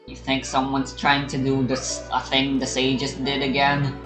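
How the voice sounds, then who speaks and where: A woman's voice: a bass voice, completely flat delivery, measured pace. A woman giving casual, rambling, offhand commentary as she streams a video game. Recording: roomy sound with game music behind. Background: video game music.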